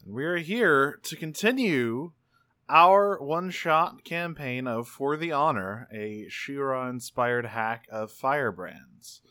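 A man casually co-hosting a tabletop role-play podcast, halting and repeating words as he narrates. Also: medium pitch, lively intonation, bright, forceful tone, dry recording. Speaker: podcast co-host.

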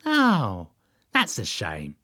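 A man voicing a chirpy, eager and rather dim male cultist sidekick in a comic audiobook, bright and sing-song in manner. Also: fairly low pitch, huge pitch swings, drawn-out words, dry recording, studio quality, full character voice. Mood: cheerful, mild.

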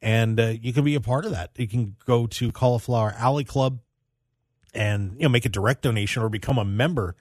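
A man's voice: mid-range voice, fairly quick, darkish tone, dry recording. Man hosting casual talk radio, close studio sound. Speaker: radio show host.

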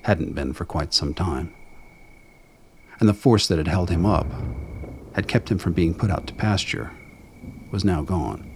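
A man with a gravelly voice reading scripted dramatic narration in a measured, brooding storytelling manner. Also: low-pitched, a little hiss or hum.

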